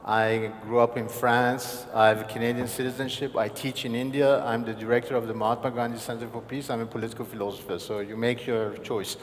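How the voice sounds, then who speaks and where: A man at middle pitch introduces himself with wry, self-deprecating humor and halting pauses. A long hall reverb and faint noise carry his voice. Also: level tone. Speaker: political philosopher panelist.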